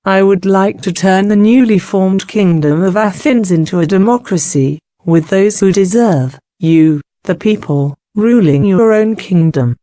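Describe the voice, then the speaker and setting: A woman's voice: medium pitch, unhurried, hardly any pauses, mellow, dry recording, clean studio sound, close to an everyday voice. A woman playing a benevolent, dignified goddess of wisdom, speaking formally and with measure in a scripted drama.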